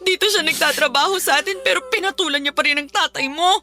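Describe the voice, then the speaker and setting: A woman's voice: sits high, fast, bright, forceful tone, soft background music. A woman playing a hurt, protective mother in an emotional radio drama, tearfully pleading and soothing, determined to hold the family together. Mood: hurt, resigned. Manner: tearful, pleading, swinging between soothing and resolve.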